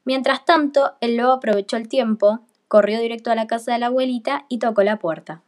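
A woman's voice: on the high side, fairly quick, darkish tone. A woman reading scripted fairy tale narration for a radio play.

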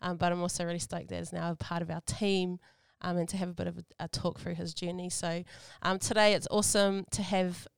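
A woman with a New Zealand accent hosting a casual interview, relaxed and friendly, mixing in Māori greetings. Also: on the low side, a little fast, gravelly, hardly any pauses, clean tone, dry recording. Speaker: host.

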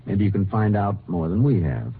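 Lawman narrator for old radio crime serial: low-pitched, steady, matter-of-fact, on a narrow, faintly noisy vintage recording. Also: normal speed, creaky. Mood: probing, firm. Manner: clipped, matter-of-fact, authoritative. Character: steady, methodical male Texas Ranger investigator and narrator. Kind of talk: 1950s radio crime drama acting and narration.

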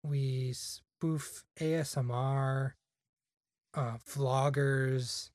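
A man's voice: mid-range voice, fairly even intonation, drawn-out words, darkish tone, no room sound, clean studio sound. A young man chatting casually on a podcast, rambling with lots of "like" fillers. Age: young adult. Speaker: podcast guest. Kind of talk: casual chat.

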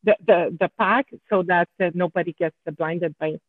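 A woman with a French Canadian accent, a podcast guest chatting in a halting way with many restarts. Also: on the low side. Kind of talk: casual podcast chat. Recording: tinny phone-line audio.

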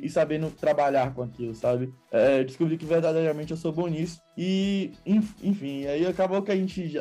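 A young Brazilian man talks as an interview guest, rambling informally as he explains. His voice is mellow and a touch high, with faint music behind it. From Salvador, Bahia, Brazil. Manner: informal, rambling, quoting himself in dialogue. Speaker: interview guest, crypto investor. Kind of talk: casual chat, explaining.

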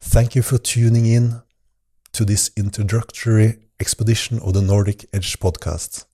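A man delivers a scripted podcast monologue as its host. His voice sits low and sounds mellow and relaxed. He speaks in an earnest, measured way. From Norway.

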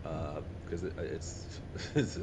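Informal, enthusiastic man over steady background noise. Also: extremely low pitch, slow pace. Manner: informal, enthusiastic, repeats himself mid-thought. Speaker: podcast co-host. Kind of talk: casual chat among friends.

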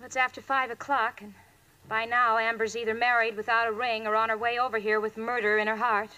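An actress playing a sensible, exasperated young working woman with crisp theatrical delivery in 1940s radio comedy. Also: a little high, flat delivery, fairly quick, bright, forceful tone. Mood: resigned. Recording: faintly noisy old radio recording.

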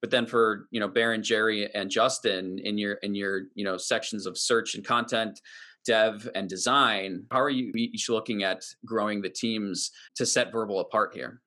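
A man's voice: fairly low pitch, steady tone, normal speed, bright, forceful tone. A man hosting an interview, putting questions and clarifications in a conversational way. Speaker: interviewer, host.

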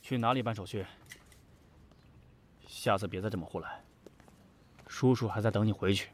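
A man's voice: lowish voice, normal speed, slight background noise, close to an everyday voice. A man acting a stern, responsible friend in an audio drama, with a scolding, no-nonsense manner. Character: stern, responsible male friend who scolds and looks after others.

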